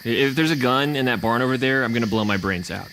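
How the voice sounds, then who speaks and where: A man's voice: medium pitch, fast talker, a bit bright, a little hiss or hum, close to an everyday voice. A man playing an exasperated, sardonic adventurer in a comedy audio drama, with a grumbling manner. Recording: music under the voice.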